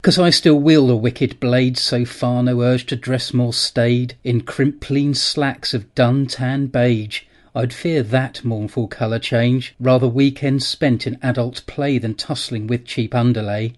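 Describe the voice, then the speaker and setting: A man's voice: medium pitch, fairly even intonation, unhurried, few pauses. A man in his forties reading his own comic rhyming poems in a dry, matter-of-fact way. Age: 40s. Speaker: guest poet.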